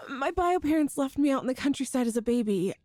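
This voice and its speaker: A woman acting a nervous, tender young girlfriend in an ASMR audio roleplay, speaking haltingly and earnestly. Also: high-pitched, expressive, warm tone, no echo. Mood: earnest, pleading. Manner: hesitant, halting, earnest, breathy sighs and nervous laughs.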